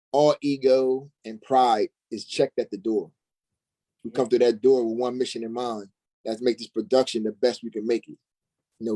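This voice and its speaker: A man with a medium-pitched voice talks casually as a guest actor on a panel. His delivery is conversational. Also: a little mellow, rough recording. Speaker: stage actor, interview guest.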